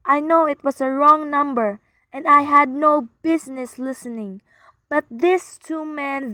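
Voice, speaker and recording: A high, deliberately paced voice for a nervous, demanding wife played by a woman in a radio thriller. She is frail and fretful, pleading and ordering with melodramatic weight. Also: sharp tone, no room sound, exaggerated voice. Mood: horrified. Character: frail, anxious, imperious wife alone at home. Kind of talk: old-time radio thriller acting.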